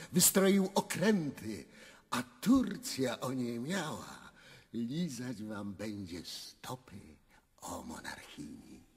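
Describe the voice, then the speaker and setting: A deferential, flattering courtier in a radio play. He speaks slowly in a husky, swooping voice, ringing in a long hall reverb. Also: a little high, heavily acted. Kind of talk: theatrical radio drama acting.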